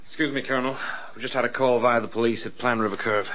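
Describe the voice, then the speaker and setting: A male actor plays a dutiful military officer in an old radio play. He is calm and matter-of-fact at a medium pitch. The recording is dull and lo-fi. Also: quick pace, background noise, lightly acted. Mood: dutiful, reporting. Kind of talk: mid-century radio drama acting.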